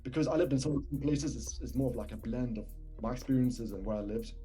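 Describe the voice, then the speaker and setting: A man's voice: mid-range voice, fast talker, poor audio. A young man from Palestine with a British accent, raised speaking Arabic too, talking casually as a rapper guest, a little mumbly and swallowing words. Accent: British English. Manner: soft-spoken, slightly swallowed words. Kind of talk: casual podcast interview. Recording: faint background music.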